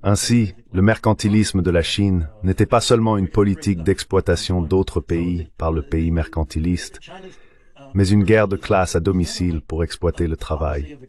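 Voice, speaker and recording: A man giving a measured, formal voice-over translation of an economist's analysis in an interview. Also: low-pitched, fairly even intonation, a little mellow.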